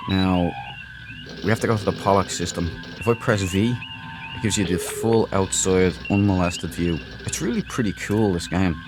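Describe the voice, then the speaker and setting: A low-voiced man hosts a gaming video with casual commentary. He rambles informally through his explanations, and game music plays clearly behind him. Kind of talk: casual let's play commentary.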